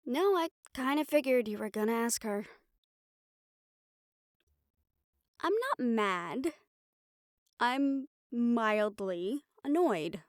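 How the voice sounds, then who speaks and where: A woman's voice: on the high side, no echo, clean studio sound. A woman playing a caring, teasing siren-banshee in an intimate audio roleplay, speaking with playful affection. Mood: mildly annoyed, wry. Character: teasing, caring female siren-banshee, fond of her partner.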